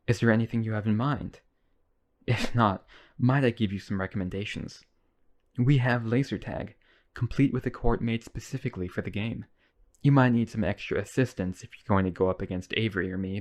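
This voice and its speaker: Man acting a low-voiced, clingy vampire brother. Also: a little mellow, close to an everyday voice. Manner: coaxing, clingy, polite. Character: lonely, obsessive, wealthy male vampire brother, eager host. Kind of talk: boyfriend ASMR roleplay acting.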